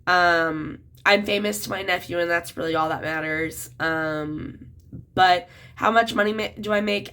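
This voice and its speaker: A woman's voice: fairly low pitch, sharp tone. A woman in her twenties, a children's book author, talking casually to camera while a bit sick, with lots of "um" and "like" fillers. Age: 20s. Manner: chatty, many "um" and "like" fillers.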